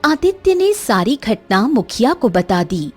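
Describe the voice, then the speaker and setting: A woman's voice: fairly high pitch, very animated, measured pace, slightly rough, faint music behind. A woman giving dramatic scripted narration of a cartoon fairy tale. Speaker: story narrator.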